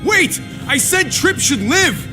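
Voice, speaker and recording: Defiant, high-pitched game hero. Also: male voice, sing-song, takes it slowly, music in the background, heavily acted. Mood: appalled, urgent. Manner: earnest, urgent. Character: defiant, protective man challenging a tyrant. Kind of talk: dramatic video game acting.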